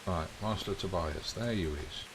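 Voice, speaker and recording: A man's voice: low-pitched, moderate pace, noisy background. A man giving laid-back, casual commentary while playing a game, thinking aloud. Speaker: gaming streamer. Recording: commentary over game music and rain. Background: game music, rain sounds.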